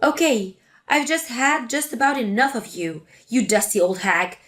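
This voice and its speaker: A woman's voice: fairly high pitch, expressive, moderate pace, faint music behind, pitched below the performer's own voice. A woman voicing an angry grown daughter who confronts her mother sharply. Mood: indignant. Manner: sharp, indignant.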